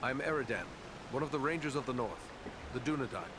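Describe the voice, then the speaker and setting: An actor playing an earnest human ranger in a fantasy game, speaking formally and steadily with polite courtesy. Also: male voice, mid-range voice, lively intonation, brisk, natural voice. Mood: polite curiosity. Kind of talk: fantasy video game character acting. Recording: clear background ambient noise.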